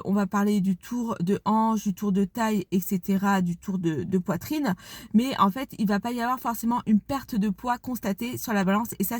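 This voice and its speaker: A woman's voice: fairly high pitch, darkish tone, dry recording. A woman with a blocked nose from a cold, chatting casually in a vlog with frequent fillers. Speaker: vlogger. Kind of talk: casual vlog talk to camera.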